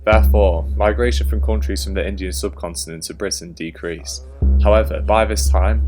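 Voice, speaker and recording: A man narrating a documentary in a steady, explanatory scripted delivery. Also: low-pitched. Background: guitar music.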